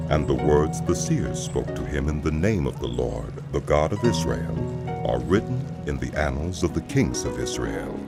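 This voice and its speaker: A man narrating scripture for a dramatized audio production in a solemn, measured storytelling manner. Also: a bass voice. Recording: loud music behind the voice. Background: loud music.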